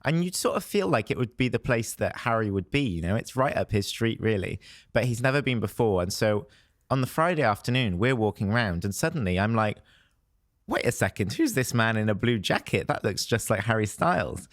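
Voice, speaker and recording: Hoarse young showbiz reporter, chatty. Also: male voice, lowish voice, expressive, fairly quick, dry recording, clean studio sound. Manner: chatty storyteller, laughs often. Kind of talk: casual podcast chat.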